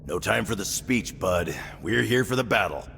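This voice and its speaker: An actor playing a cocky, gruff action-game soldier with a gravelly voice and curt, deadpan quips. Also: male voice, lowish voice, normal speed, noisy background. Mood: unimpressed. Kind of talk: video game cutscene acting.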